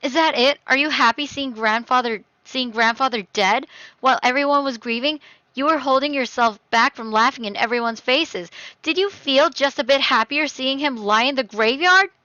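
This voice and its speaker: A woman voicing a grieving, defiant teenage daughter in a dramatic story reading, halting and emotional. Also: medium pitch, animated, fairly bright tone, no room sound, muffled recording, lightly acted. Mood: angry, hurt. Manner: halting, pleading, rising to heated outbursts.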